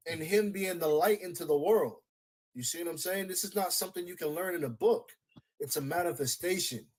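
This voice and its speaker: A man's voice: sits high, low-quality recording. A man preaching in a religious debate, insistent and repetitive, cutting in to keep the floor.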